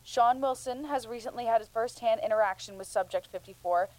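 A woman's voice: a little high, darkish tone, natural voice, rough recording. Composed, authoritative woman scientist, acted. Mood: formal. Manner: measured, formal interviewer. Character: composed, authoritative female research scientist, firm but gentle. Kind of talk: student audio drama acting.

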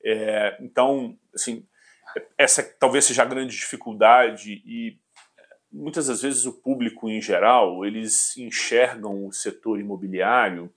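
A man in his forties, a finance executive from southern Brazil, answering interview questions in a measured way with frequent hesitations. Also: on the low side, fairly even intonation, a bit bright. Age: 40s. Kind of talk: business interview.

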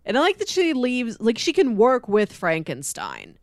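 A woman's voice: fairly high pitch, wildly swooping pitch, normal speed, no echo, clean studio sound. A woman co-hosting a podcast, casually discussing comics in a playful way, often acting out paraphrased dialogue. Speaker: podcast co-host.

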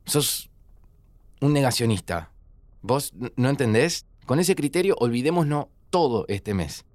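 A man's voice: medium pitch, lively intonation, a little slow, no room sound, lightly acted. An actor playing an anguished, sardonic young Argentine student, devoted to his best friend, in a naturalistic audio drama. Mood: sarcastic, frustrated. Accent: Argentine Rioplatense. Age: young adult. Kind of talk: naturalistic audio fiction acting.

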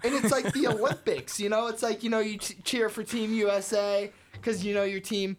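A woman's voice: mid-range voice, fairly even intonation, moderate pace. A woman from the United States co-hosting a sports podcast, chatty and playfully teasing her co-host. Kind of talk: casual sports podcast chat.

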